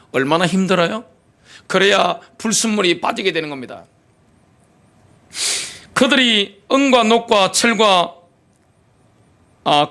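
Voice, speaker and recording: A man delivers a sermon from the pulpit, his pitch sitting high and swinging widely from line to line. He reads scripture in slow, weighty phrases, then turns to the congregation with rhetorical questions. His tone is bright and forceful. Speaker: pastor.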